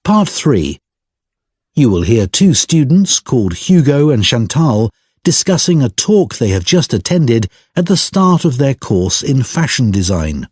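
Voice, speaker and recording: A man announces test instructions in a formal, measured way. His mid-pitched voice has a husky grain and varied intonation, recorded dry. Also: big pitch swings, darkish tone, dry recording. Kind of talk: scripted exam instructions.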